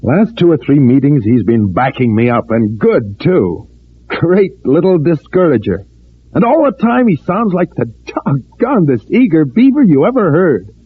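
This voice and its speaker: An actor playing an outspoken, scheming agitator with a chummy, colloquial manner in an old-time radio drama. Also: male voice, on the high side, sing-song, a little slow, breathy edge, exaggerated voice. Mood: sly, pleased. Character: scheming, outspoken agitator posing as friendly citizen. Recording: tinny, narrow-band vintage radio recording.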